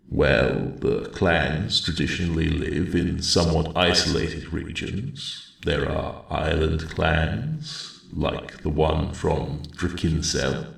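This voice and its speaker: A man voicing a kindly, courteous old dragon elder in a soft ASMR roleplay, with a gravelly voice and measured, hesitant, formal phrasing. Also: roomy sound, full character voice. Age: older. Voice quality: very deep, gravelly.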